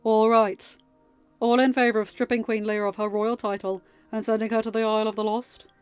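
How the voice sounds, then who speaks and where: A woman's voice: on the high side, level tone, a little fast, a little mellow, clear, resonant tone, no echo, muffled recording, lightly acted. Young storybook king read by a woman, firm, authoritative, formal, over faint music. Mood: stern, firm. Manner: firm, formal, hard-edged. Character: stern, commanding young king chairing a trial.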